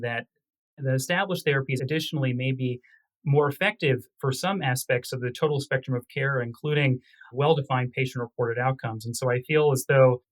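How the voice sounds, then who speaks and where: A man speaking as a physician researcher in a medical interview, with formal, technical and measured phrasing. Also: mid-range voice, low-quality recording.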